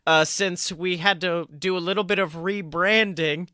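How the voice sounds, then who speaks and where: A man with a high, bright voice hosts a casual podcast. He sounds emphatic and playful, a bit of a showman, in a dry, close recording. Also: fairly even intonation, normal speed, smooth, no echo. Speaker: podcast host.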